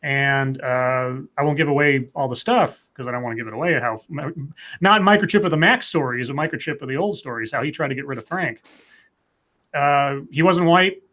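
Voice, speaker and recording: A man with a medium-pitched voice discusses comics casually as a livestream panelist. He leans hard on his points and often halts to find his words as he goes. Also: normal speed, bright, forceful tone.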